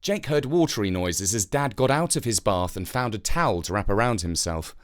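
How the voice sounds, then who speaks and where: A narrator reading a scripted children's story with expressive, deliberate phrasing. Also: medium pitch.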